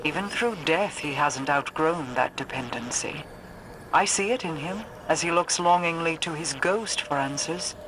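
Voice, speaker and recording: A woman's voice: sits low, moderate pace, close to an everyday voice. An actress playing a haughty, cold ancient queen in a game, lecturing formally and with condescension. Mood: cold disdain. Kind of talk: dramatic game dialogue. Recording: clear background ambience and noise.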